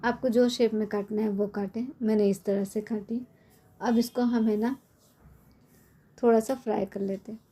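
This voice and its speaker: A middle-aged woman with a hoarse, cold-worn voice, chatting casually as she explains a home recipe step by step in her kitchen. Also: mid-range voice, fairly even intonation, normal speed, pauses often, dark tone. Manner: chatty, explains step by step with frequent tag questions. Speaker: cooking channel host. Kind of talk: casual cooking tutorial.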